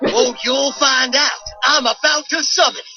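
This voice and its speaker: A smug card duelist teases in a high, piercingly bright voice, as a performer puts it on. The cartoon parody audio is muffled, with music playing underneath. His taunts come quickly and repeat over and over. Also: steady tone. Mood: taunting. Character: boastful duelist, smugly teasing. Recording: muffled clip with music behind.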